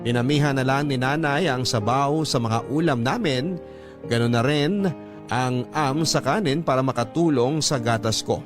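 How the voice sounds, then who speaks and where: Filipino guy reading a story letter for radio drama, mid pitch, music playing under him. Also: normal speed. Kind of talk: scripted first-person story narration.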